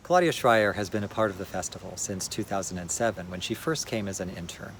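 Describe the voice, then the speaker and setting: A man, a festival director, giving a prepared, measured introduction to a dance piece. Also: sits low, gravelly, a little hiss or hum.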